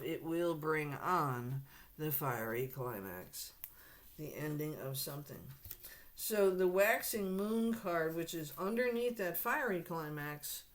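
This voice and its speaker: A woman doing a casual tarot reading to camera, hoarse and losing her voice, clearing her throat often and speaking to the viewer bluntly and directly. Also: low voice. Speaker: tarot reader.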